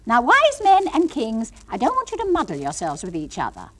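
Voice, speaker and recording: English nursery school teacher for comic monologue. Patient but firm, patronising sing-song coaxing, hugely animated pitch. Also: female voice, brisk. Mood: bright, coaxing. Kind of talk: comic monologue, one side of classroom talk.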